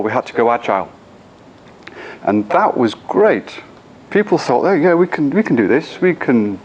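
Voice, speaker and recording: A middle-aged Englishman speaking at a conference, his voice sitting at an ordinary pitch. He keeps turning to the room with quick questions, and a faint hiss of background noise lies under his voice. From England. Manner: engaging, asks the audience frequent questions. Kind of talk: conference talk.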